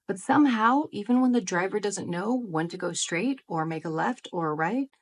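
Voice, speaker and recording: Woman, friendly explainer, average pitch, scripted vlog talk. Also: animated, moderate pace. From United States. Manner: friendly, explanatory, rhetorical questions. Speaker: vlogger.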